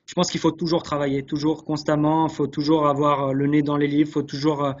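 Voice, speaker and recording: A man's voice: on the high side, flat delivery, a little fast. A man answering interview questions earnestly, hesitating and repeating words as he searches, on dull webinar audio. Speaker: guest, chef sommelier. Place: online video call.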